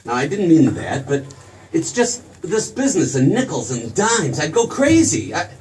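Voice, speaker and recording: A man's voice: a little high, huge pitch swings, moderate pace, darkish tone. An actor playing a restless, eager young man who stammers and repeats himself, in 1940s radio drama style. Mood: torn, earnest. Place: theater stage. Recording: distant stage pickup with faint noise.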